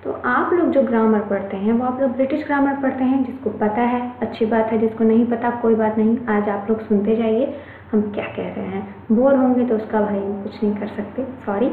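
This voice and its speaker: A woman's voice: fairly high pitch, steady tone, brisk. A woman with an Indian accent giving a chatty online English lecture, repeating key points to reassure her students. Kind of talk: Hindi-English mixed classroom lecture. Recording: echoey room, tinny phone-like sound, faint noise.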